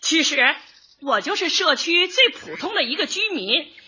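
An actress playing a cheerful, earnest older housewife and community organizer in a light comedy radio drama, chatty and expressive. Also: female voice, high voice, normal speed, lo-fi sound, a little hiss or hum, heavily acted. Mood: earnest, warm.

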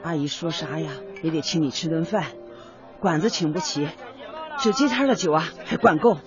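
A woman's voice: sits low, moderate pace, dull audio, music in the background, crowd noise, close to an everyday voice. An actress playing a middle-aged, devoted, long-suffering working-class mother in a radio drama, with an earthy, emotional, fussing way of talking. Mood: weary, confiding.

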